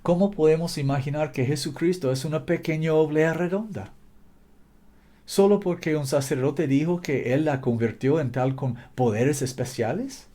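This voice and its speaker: Fervent preacher, warm mellow voice. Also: male voice, a little high, normal speed. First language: not Spanish. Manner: fervent, repetitive exhortation with slips and restarts. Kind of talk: fervent sermon.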